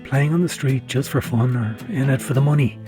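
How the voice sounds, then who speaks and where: A man's voice: mid-range voice, brisk. A man reading scripted narration for a documentary. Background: guitar music.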